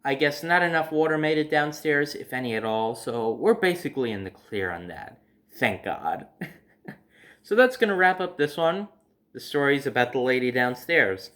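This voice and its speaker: A man's voice: medium pitch, animated, moderate pace, fairly bright tone. A man telling personal stories casually to his viewers, in a conversational way, acting out his reactions as he goes. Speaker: storyteller hosting own video series.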